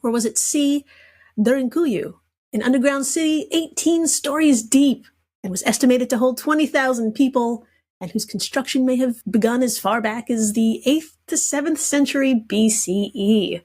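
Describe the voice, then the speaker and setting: A woman with a fairly high voice runs a comedic trivia quiz. She moves between reading questions and chatting casually, with a playful storytelling manner. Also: normal speed, a little mellow. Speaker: podcast guest quizmaster.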